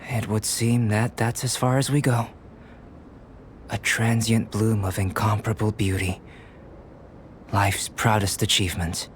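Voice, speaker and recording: An actor playing a calm, contemplative young alchemist in an anime game, speaking in a formal, articulate, measured way. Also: male voice, lowish voice, a little hiss or hum, natural voice. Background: music.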